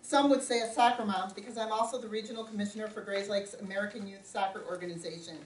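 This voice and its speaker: A middle-aged woman giving a loud, plainspoken opening statement as a candidate at a community forum. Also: mid-range voice, fairly even intonation, quick pace. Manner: loud, plainspoken, self-joking. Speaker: township supervisor candidate. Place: community meeting room. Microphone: no microphone, picked up from a distance. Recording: roomy, distant, faint room noise.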